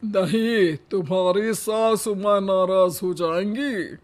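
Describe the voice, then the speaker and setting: A man acts out an old henpecked husband in a comic cartoon tale. His voice is very high for a man, and he talks slowly. He is wry and sarcastic, forever needling with mock pleading. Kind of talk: comic animated moral story acting.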